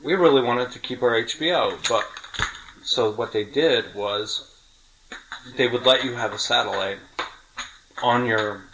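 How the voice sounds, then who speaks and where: A middle-aged man with a bright, forward voice talks like a podcast host swapping memories with a friend. His delivery is chatty and full of small detail, casual and conversational throughout. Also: fairly low pitch, a little hiss or hum, poor audio.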